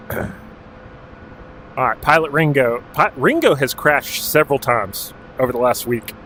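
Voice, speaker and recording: A man's voice: mid-range voice, a little slow, a little hiss or hum. A man from Alaska streaming a helicopter game, chatting casually while calling out directions and ranges. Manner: relaxed and profane, calling out clock directions and ranges. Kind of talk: casual gaming livestream commentary.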